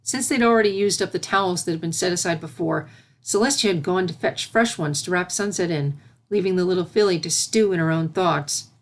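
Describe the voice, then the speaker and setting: Low-pitched woman narrating a story. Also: fairly quick.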